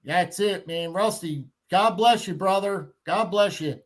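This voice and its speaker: A man's voice: high voice, measured pace. A fifty-year-old man in a casual chat, talking effusively and often repeating his phrases. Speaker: guest on a livestream chat.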